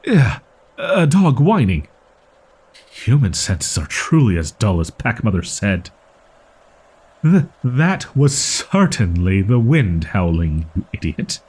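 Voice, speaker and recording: A man's voice: lowish voice, sing-song, takes it slowly, darkish tone, slightly rough, heavily acted. A man voicing a proud, easily flustered young wolf-boy in a roleplay audio drama, with stilted archaic diction and defensive stammering. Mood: indignant, defensive. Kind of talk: roleplay audio drama monologue addressed to listener.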